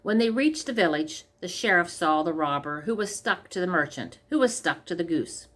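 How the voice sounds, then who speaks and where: A woman's voice: lowish voice, expressive, normal speed, a bit bright. A woman reading a scripted comic fairy tale aloud as a storyteller. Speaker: story reader.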